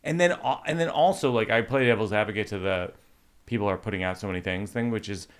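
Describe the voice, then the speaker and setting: A middle-aged man hosting a podcast conversation, talking in halting bursts with lots of restarts and animated asides. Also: on the low side, clear, resonant tone. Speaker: podcast host. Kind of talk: casual conversation.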